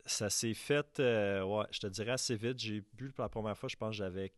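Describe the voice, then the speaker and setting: A man's voice: fairly low pitch, moderate pace, no room sound. A man in his thirties with a Québécois French accent, hosting a podcast and speaking in a plain, matter-of-fact way. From Quebec. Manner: plain, matter-of-fact, fillers like fait que. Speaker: podcast host. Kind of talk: casual conversational interview.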